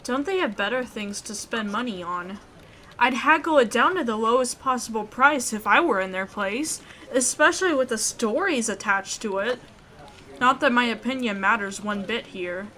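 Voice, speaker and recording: A woman hosting a let's play, reading a visual novel aloud in an expressive way. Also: on the high side, moderate pace, slight background noise.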